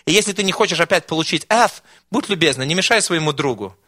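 A man voicing a brisk female college teacher giving instructions to her class. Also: on the low side, lively intonation, fairly bright tone, no echo, studio quality, voice pushed up. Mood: instructing.

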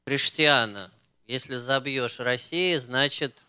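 A man's voice: mid-range voice, slow pace, pushed and bright, smooth, dry recording. A man answering as a guest in a football chat, giving short, dry, joking replies. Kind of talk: casual chat about football.

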